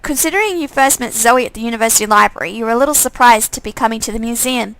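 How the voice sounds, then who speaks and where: High, creaky-voiced woman reading game text aloud. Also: racing, a bit bright, no echo, rough recording. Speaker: streamer playing and reading a visual novel aloud. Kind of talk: casual live let's-play, reading game text aloud.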